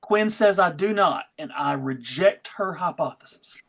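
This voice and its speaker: Table-read host and narrator: middle pitch, casual presenter who also reads stage directions. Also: animated, a little slow, fairly bright tone. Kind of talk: casual hosting and scripted narration.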